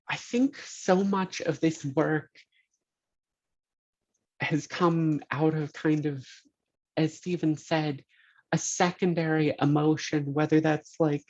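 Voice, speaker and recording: Slow, measured poet on a call. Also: medium pitch, a little mellow. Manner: measured, articulate, thoughtful. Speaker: poet on a reading panel. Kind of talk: reflective panel discussion. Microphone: video call. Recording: slightly dull video call audio.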